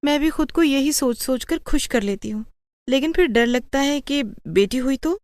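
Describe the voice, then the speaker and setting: A woman's voice: high voice, normal speed, a little mellow, dry recording, voice pushed up, close to an everyday voice. A woman voicing an anxious, pleading young married woman in a dramatised moral story. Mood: fearful. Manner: pleading, fretful.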